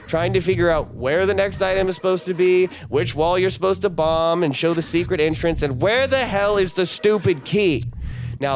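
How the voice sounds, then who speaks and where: A man's voice: a little high, a little mellow, a little hiss or hum. Sarcastic young man hosting a movie commentary. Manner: sarcastic, emphatic listing. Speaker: video commentary host. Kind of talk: scripted video essay commentary.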